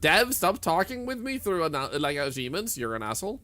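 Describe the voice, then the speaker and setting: A man's voice: on the high side, sing-song, pushed and bright. A man from Sweden with a Swedish accent, speaking English as a second language while joking with chat during casual gameplay commentary. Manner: joking, teasing chat, quick to laugh. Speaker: streamer.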